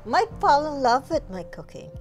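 A woman's voice: high-pitched, very animated, moderate pace. A woman in her forties with a Guyanese accent, telling her personal story in an interview. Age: 40s. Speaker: client sharing personal story. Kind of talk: interview testimonial. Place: small furnished living room indoors. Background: soft music.

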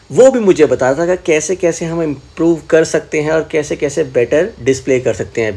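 A young man chatting casually to his viewers as a travel vlogger. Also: fairly high pitch. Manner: chatty, addresses viewers directly. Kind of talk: casual vlog narration. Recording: noisy road-trip background.

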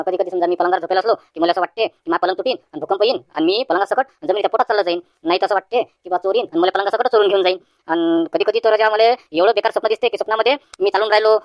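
A woman's voice: medium pitch, dry recording, close to an everyday voice. A woman voicing an anxious, naive village man in a comic cartoon, rambling with hesitant fillers in a rural Vidarbha Marathi accent. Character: anxious rustic village man, naive worrier visiting a doctor.

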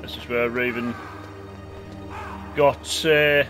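A man's voice: mid-range voice, steady tone, takes it slowly, fairly bright tone. A man hosting a gaming channel, chatting and exclaiming as he comments on his gameplay. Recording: game music behind voice.

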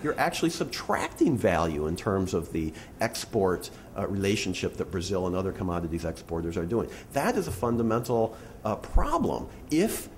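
Middle-aged man, deliberate, with faint noise. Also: medium pitch, darkish tone. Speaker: panelist. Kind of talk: analytical panel remarks. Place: indoor conference panel stage. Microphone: gooseneck table microphone. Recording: faintly noisy conference room recording.

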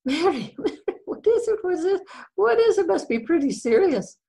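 A woman plays a worried, none-too-bright husband in a folksy comic tale. The voice is creaky, with an Irish brogue, pleading and repeating itself as it insists. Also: medium pitch, sing-song, normal speed. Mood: anxious, pleading. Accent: affected Irish brogue. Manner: fretful, pleading, repetitive. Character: worried, insistent, slightly dim old-country husband.

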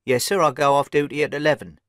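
A man's voice: a little high, fairly even intonation, quick pace, no room sound, clean studio sound, lightly acted. A man voicing a deferential hotel day porter who answers politely and readily. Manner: polite, eager to help.

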